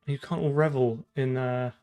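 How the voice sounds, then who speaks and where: A man's voice: medium pitch, fairly even intonation, normal speed, mellow, faint music behind. A man chatting casually on a live coding stream, thinking aloud in an informal way. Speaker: streamer and game programmer.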